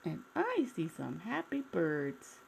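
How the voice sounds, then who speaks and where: A woman reading aloud to young children in an expressive storytime style, addressing her listeners directly. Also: lowish voice, slow pace, vocal fry, a little hiss or hum, low-quality recording.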